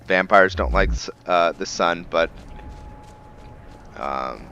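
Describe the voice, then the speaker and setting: A man giving casual roleplay gameplay commentary as a let's-play host, haltingly thinking aloud as he goes. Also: low-pitched, lively intonation, gravelly, pushed and bright, dull audio, faint music behind. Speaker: video game streamer, let's-play host.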